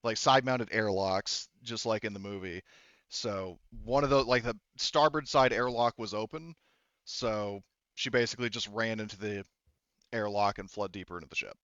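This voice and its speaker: Low, smooth-voiced man running a game. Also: fairly bright tone, dry recording, dull audio. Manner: halting, informal, sweary. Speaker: game master. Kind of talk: casual tabletop game narration and rulings.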